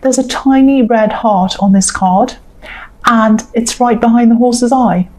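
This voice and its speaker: A woman with a slightly croaky voice giving a casual tarot reading, repeating her advice and thinking aloud with fillers. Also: fairly high pitch, steady tone, measured pace, mellow. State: croaky, coughing voice. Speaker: tarot reader.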